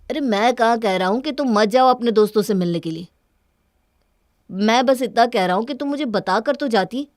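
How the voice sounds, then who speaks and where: Woman as exasperated young husband, fairly high voice, reproachful, cartoon dialogue, dry recording. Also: normal speed, dry recording, close to an everyday voice. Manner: reasoning, reproachful. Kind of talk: Hindi cartoon story dialogue.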